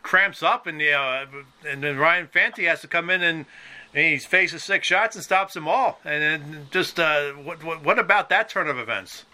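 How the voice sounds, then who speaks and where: Male sports podcast host, higher-pitched, very bright voice, halting and rambling as he asks questions. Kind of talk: conversational sports interview.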